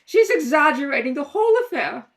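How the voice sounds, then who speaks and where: Radio play: domineering older aunt played by a woman, haughty, imperious, clipped delivery, animated pitch. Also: brisk, heavily acted. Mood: haughty, controlled.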